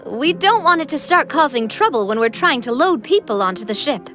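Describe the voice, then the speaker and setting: A woman's voice: sits high, big pitch swings, fairly quick, pushed and bright, faint music behind, heavily acted. A woman playing an earnest, helpful young heroine in a video game, thoughtful and cooperative. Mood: earnest, eager. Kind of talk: video game character acting.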